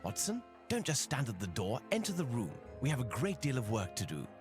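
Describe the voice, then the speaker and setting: An actor playing a confident, wry gentleman detective with a formal, assured manner in a video game. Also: mid-range voice, huge pitch swings, music in the background. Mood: teasing. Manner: formal, brisk, assured, lightly teasing. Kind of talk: video game dialogue acting.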